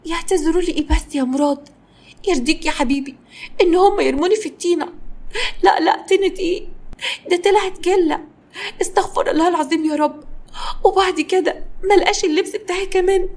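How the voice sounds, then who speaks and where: A woman voicing a scheming, melodramatic young wife who is theatrical, whiny and quick-tempered in an audio story. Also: high-pitched, animated, darkish tone. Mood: whiny, wheedling. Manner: theatrical shrieking and fake crying.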